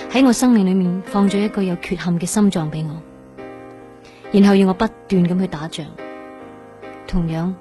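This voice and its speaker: An actress voices a resolute young woman in a radio play, with a dark, mellow tone. She thinks aloud, reflective and earnest, over faint music. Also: medium pitch, natural voice. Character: resolute young woman, heart transplant survivor. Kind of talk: radio drama inner monologue.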